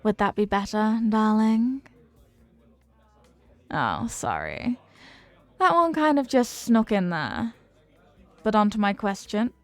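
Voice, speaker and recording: A woman's voice: medium pitch, big pitch swings, slow, pauses often, dry recording. A woman playing a flirty, confident, playful stranger in an intimate roleplay, with a teasing, coy, sweet-talking manner. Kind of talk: intimate roleplay audio acting.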